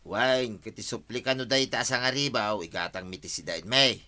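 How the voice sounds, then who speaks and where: Actor as a sharp-voiced cheeky Ilocano husband. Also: male voice, medium pitch, normal speed, close to an everyday voice. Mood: wheedling, joking. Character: penniless, joking husband who buys on credit. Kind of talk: Ilocano radio comedy-drama acting.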